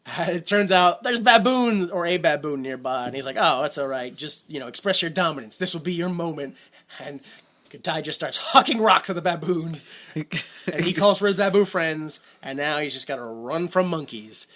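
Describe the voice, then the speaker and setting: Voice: mid-range voice, lively intonation, moderate pace, fairly bright tone. A podcast co-host in casual movie-review chat, rambling and sarcastic, often breaking off mid-sentence. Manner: rambling, self-interrupting, sarcastic.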